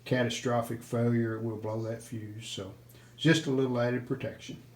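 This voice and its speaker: A man's voice: mid-range voice, fairly even intonation, moderate pace. A man talking casually through a hands-on restoration in a plain, matter-of-fact way, in short clipped sentences. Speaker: host of a vintage radio repair video. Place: indoors at home.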